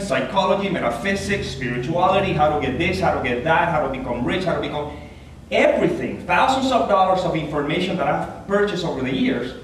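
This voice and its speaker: A man with a Puerto Rican accent giving an earnest, animated inspirational talk as a guest speaker at a church. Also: slight background noise. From Puerto Rico. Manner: earnest, animated, rises in pitch when stressing a point. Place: large church hall. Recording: echoey hall, taken from far off.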